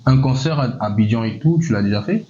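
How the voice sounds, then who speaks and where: A man's voice: mid-range voice, lively intonation, fast talker, mellow, slight background noise. A man hosting an interview, asking loose, rambling questions full of fillers like "et tout". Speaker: interviewer, show host. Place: Casablanca. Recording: slightly muffled, lo-fi sound.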